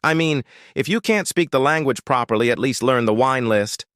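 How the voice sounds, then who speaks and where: A man playing an arrogant wealthy diner who talks in a loud, sneering way. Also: medium pitch, animated, normal speed, smooth, no echo, clean studio sound, pitched above the performer's own voice, lightly acted. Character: arrogant rich male diner, loud and mocking.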